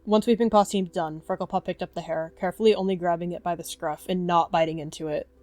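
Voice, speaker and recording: A woman's voice: fairly low pitch, fairly even intonation, fast, a little mellow. A woman narrating a scripted third-person animal story as part of a roleplay. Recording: music behind.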